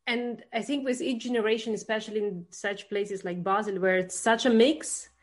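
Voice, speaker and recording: A woman's voice: medium pitch, steady tone, moderate pace. A woman from Russia, speaking as an interviewer in a casual conversation.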